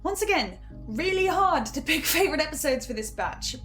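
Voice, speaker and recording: Fairly high-voiced woman hosting. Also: big pitch swings, moderate pace, fairly bright tone, soft background music. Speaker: host of a fan reaction series. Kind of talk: casual commentary.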